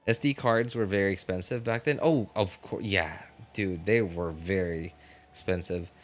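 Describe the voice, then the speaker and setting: A man in his thirties chatting casually as he streams a game, halting and repeating himself as he reminisces. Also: low voice. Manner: halting, reminiscing, many hesitant fillers and repeats. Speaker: livestreamer. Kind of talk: casual rambling chat while streaming a game. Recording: game music playing behind the voice. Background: video game music and character dialogue.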